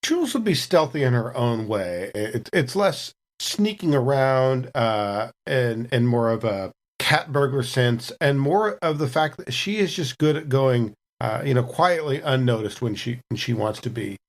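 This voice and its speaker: A man's voice: medium pitch, animated, unhurried, few pauses. A man talks through a tabletop game as a player. His voice sits in the middle range and he speaks casually, making it up as he goes. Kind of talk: casual improvised game chat.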